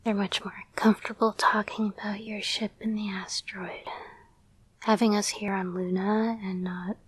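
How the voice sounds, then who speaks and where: A woman playing an affectionate, playful girlfriend and caretaker in an intimate roleplay audio, speaking in a soft, breathy whisper with a gentle, hesitant murmur. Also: mid-range voice, flat delivery, moderate pace, dry recording. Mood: reassuring, pleased.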